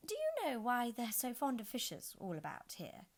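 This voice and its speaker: Woman doing a polite little girl, stammery and nervous, pitch jumping around. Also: huge pitch swings, moderate pace. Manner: hesitant, stammering.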